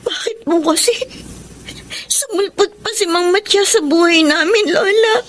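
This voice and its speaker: An actress with a Filipino accent playing a hurt, pleading young daughter in a radio melodrama. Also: female voice, very high voice, a little hiss or hum. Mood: tearful, pleading.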